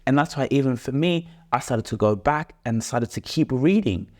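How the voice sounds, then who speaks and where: A man's voice: medium pitch, animated, normal speed, a little mellow. A young man co-hosting a podcast, talking with enthusiasm and fluency while stacking his thoughts with fillers like "like" and "you know.". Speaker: podcast co-host, son. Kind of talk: casual motivational chat.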